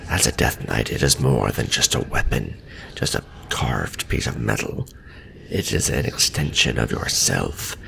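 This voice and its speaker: A man voicing a veteran undead death knight in an ASMR fantasy roleplay, a stern, patient mentor speaking formally and with measure in a gravelly half-whisper. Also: rumbling low, background noise, full character voice. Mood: solemn, instructive.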